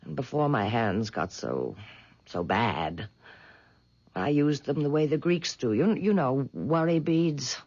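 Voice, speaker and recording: An actress playing a frail, superstitious old lady in a radio drama, with a hesitant, coaxing manner and a husky, quavering voice. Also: extremely low pitch, lively intonation, slow pace, a little mellow, full character voice. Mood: wistful, frail. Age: older. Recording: dull vintage radio recording.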